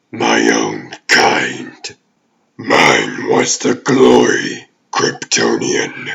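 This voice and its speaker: A man's voice: sharp tone, lo-fi sound, heavily acted. A man voicing a menacing exiled alien demon warlord in a comic dub, grandiose and vengeful, with a growling, pompous delivery. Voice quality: very deep, gravelly growl. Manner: pompous, slow theatrical villain speech.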